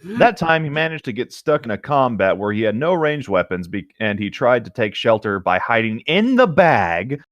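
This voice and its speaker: Lively man on a podcast, dry sound. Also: medium pitch, normal speed, hardly any pauses, no echo, dull audio. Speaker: podcast co-host. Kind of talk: casual chat and reading stories aloud.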